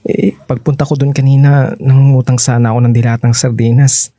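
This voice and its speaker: An actor playing a meek, hungry teenage younger brother, speaking hesitantly in a radio drama. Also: male voice, medium pitch, little pitch movement, a little fast, dark tone, no echo, lightly acted. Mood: hesitant, complaining. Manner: hesitant, sheepish, starts phrases with small interjections. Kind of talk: radio drama dialogue acting. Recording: close dry studio, music underneath.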